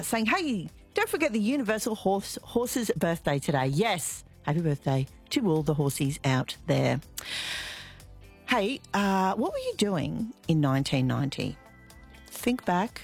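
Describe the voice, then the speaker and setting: A middle-aged Australian woman hosting a radio show in breezy chat, upbeat with a lot of "uh" fillers. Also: lowish voice. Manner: chatty and upbeat, with frequent "uh" fillers. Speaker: radio host. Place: radio studio. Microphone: studio microphone.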